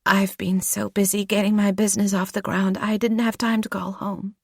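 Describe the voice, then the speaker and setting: A woman voicing a grieving adult daughter with an American accent, speaking softly and wearily, often half-whispered. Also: medium pitch, flat delivery, moderate pace, vocal fry, breathy edge, dry recording, studio quality, pitched above the performer's own voice. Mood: weary.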